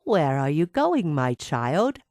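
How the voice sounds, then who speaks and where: A woman voicing a kind, calm enchanted tree in a storybook, with a measured and generous manner. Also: deep voice, dry recording, studio quality, full character voice. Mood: kindly curious.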